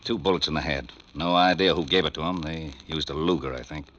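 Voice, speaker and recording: A man playing a gruff, irritable police lieutenant in a 1940s radio detective drama, snappish and bossy. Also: a bass voice, brisk, fairly bright tone, slight background noise. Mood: businesslike. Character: irritable, exasperated New York police homicide lieutenant. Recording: muffled old radio broadcast recording.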